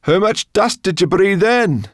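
A man voicing a bossy, sharp, impatient furry female creature in a storybook, needling and exclaiming. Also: low-pitched, measured pace, dry recording, studio quality. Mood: anxious, calling.

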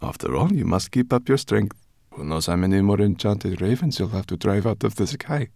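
A kind, soft-spoken woman reassuring travellers in a storybook reading, as a man takes her part. The voice is lifted a little, still gravelly underneath, recorded dry and close. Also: lowish voice, animated, brisk, dry recording. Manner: gentle, reassuring. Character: kind, gentle woman healer and host.

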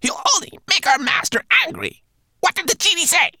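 A man voicing a sly male raven who croaks in a hushed, high, breathy whisper. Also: huge pitch swings, fairly quick, no echo, studio quality, heavily acted. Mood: pressing.

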